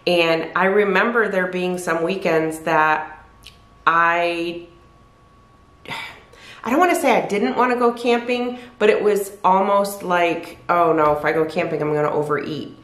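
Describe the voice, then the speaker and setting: A woman's voice: fairly low pitch, a bit bright. A woman in her fifties chatting to camera in her living room, candid and rambling, often restarting her sentences. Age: 50s. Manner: rambling, candid, self-correcting. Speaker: weight loss vlogger. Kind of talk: casual chat to camera. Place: living room by a fireplace, indoors. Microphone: camera at desk distance. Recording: echoey room.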